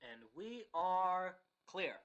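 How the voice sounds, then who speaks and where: A kindly radio producer in an audio drama. The voice is bright and a little high for a man, and the manner is patient, supportive and gently scolding. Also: big pitch swings, very slow, dull audio, heavily acted. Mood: encouraging, amused.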